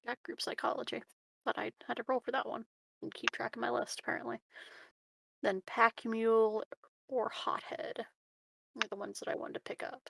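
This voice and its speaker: Higher-pitched woman with gravelly creak. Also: fairly even intonation, moderate pace, long pauses, fairly bright tone, dry recording. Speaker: player in a tabletop roleplaying game. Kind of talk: casual chat.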